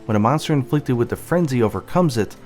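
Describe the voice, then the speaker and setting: A man's voice: mid-range voice, animated, fast talker, darkish tone. A man giving light explanatory narration of a video game's story. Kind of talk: light explanatory narration over game cutscenes. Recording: music behind the voice. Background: game music.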